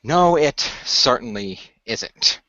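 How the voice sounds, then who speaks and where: Man playing an earnest, measured team leader. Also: mid-range voice, lively intonation, sharp tone, no echo. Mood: grave, explaining. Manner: measured, expository, sighing. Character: earnest male team leader explaining dangers of magic. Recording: dull, lo-fi audio.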